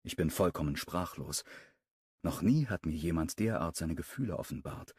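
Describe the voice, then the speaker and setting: A man narrating a first-person romance audiobook in a calm, measured reading voice. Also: sits low, breathy edge, dry recording, studio quality.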